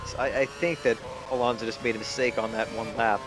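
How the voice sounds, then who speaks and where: A man's voice: medium pitch, steady tone, fast talker. A young man casually commentating on a racing game, joking and swearing now and then. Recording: commentary over game engine noise. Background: racing game engine sounds.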